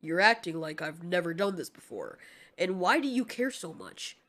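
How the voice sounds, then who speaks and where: A woman voicing a callous male giant reptilian monster in an audio drama, deadpan, dismissive and sarcastic. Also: on the low side, normal speed. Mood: unbothered, dry. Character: cocky, callous male giant reptilian kaiju, coolly menacing.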